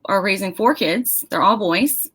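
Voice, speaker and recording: Relaxed, slow-paced American woman in her forties, casual guest voice. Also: medium pitch, husky. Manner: unhurried, casual, laughs easily. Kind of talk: casual interview.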